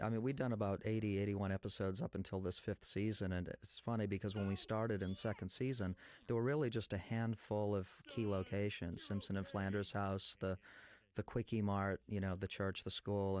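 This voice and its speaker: This is an American man from New England with a lowish voice. He talks casually as a designer on an audio commentary. He explains his work in detail, often in lists. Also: fairly even intonation, normal speed. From Massachusetts, New England, USA. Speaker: animation background designer.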